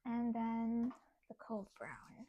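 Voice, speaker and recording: A woman's voice: fairly high pitch, steady tone, drawn-out words, highs cut off, heavy background noise. Chatty German woman streaming crafts. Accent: German, with some British English. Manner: chatty, laughs often, talks to herself while working. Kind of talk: casual craft livestream chat, reading chat aloud.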